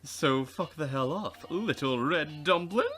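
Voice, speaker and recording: Man putting on a loud, drawling troll clown guy. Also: fairly high pitch, lively intonation, a little slow, soft background music, pitched above the performer's own voice. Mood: belligerent. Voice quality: loud, honking. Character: swaggering, foul-mouthed purple blood troll clown guy.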